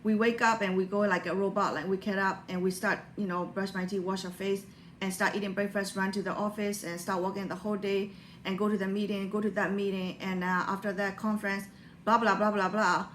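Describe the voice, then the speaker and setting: A woman's voice: mid-range voice, level tone, brisk, a bit bright, roomy sound, slight background noise. A woman from Burma, Burmese her first language, talking earnestly in a rambling motivational vlog with non-native grammar and many fillers. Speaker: motivational vlogger. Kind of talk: personal motivational monologue.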